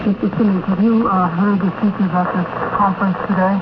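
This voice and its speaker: A high-pitched male radio interviewer on a dull, noisy 1950s outdoor recording. He puts his questions formally and deliberately, as an on-location convention reporter would. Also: steady tone. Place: outdoors at a farm in the evening.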